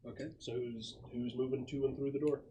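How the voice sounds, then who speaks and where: Man, game master, tabletop narration, medium pitch, halting improvised delivery, slight background noise. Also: level tone, dark tone. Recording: noisy room, clicks.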